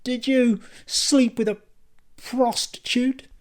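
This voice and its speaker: A man voicing a young wife who angrily accuses her husband in a raised, falsetto-like voice. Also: fairly even intonation, takes it slowly. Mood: shocked. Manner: high-pitched, accusing, sarcastic.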